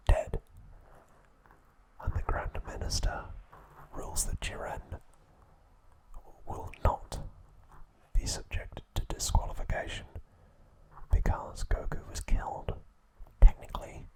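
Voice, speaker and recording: A man hosting an ASMR video, narrating trivia in a soft, deliberate, unhurried whisper. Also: low-quality recording. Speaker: ASMR host.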